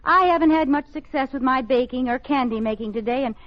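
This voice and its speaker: An actress playing a scatterbrained, wisecracking housewife in an old radio sitcom, with theatrical timing and flustered stammers. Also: female voice, sits high, brisk, lightly acted. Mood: eager. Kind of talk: 1950s radio sitcom acting before a live audience. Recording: muffled old radio recording with studio audience.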